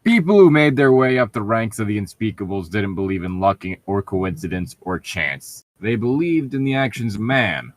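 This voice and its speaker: A man's voice: on the low side, very animated, moderate pace, few pauses, dry recording. A man reading a story aloud as a co-host, breaking off for sarcastic, irritable, profane commentary and casual banter. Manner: sardonic, irritable, profane heckling.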